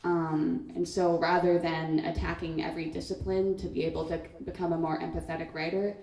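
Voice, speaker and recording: A woman's voice: fairly low pitch, flat delivery, brisk, dark tone. A young woman on a student writers' panel, giving a thoughtful answer with many "um" and "like" fillers. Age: teens. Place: school event hall. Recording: echoey room, far from mic.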